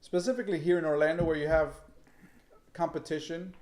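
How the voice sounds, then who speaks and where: A man in his thirties co-hosting a casual advice chat, explaining steps in a steady, practical way. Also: on the high side, brisk. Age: 30s. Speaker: real estate agent, co-host. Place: indoor room set, seated at a table.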